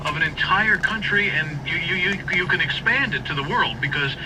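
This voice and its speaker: A man's voice: on the high side, animated, brisk. Field reporter man on the car radio, earnest, far away and buried in road noise. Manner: earnest, describing what he saw. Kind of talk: live phone-in report.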